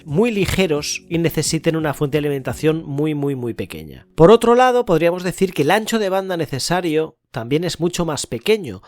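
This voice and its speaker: A man with an accent from Spain hosting a podcast, explaining things point by point in a didactic way. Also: fairly high pitch. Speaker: podcast host. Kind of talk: podcast explainer on amateur radio.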